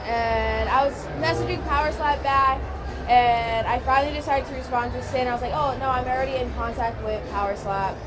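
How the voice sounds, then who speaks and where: High-voiced woman from Hawaii, giggly. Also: brisk. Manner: laughs often, easygoing. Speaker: interview guest, slap fighter. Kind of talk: casual interview. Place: casino, busy public area. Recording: noisy crowded casino background.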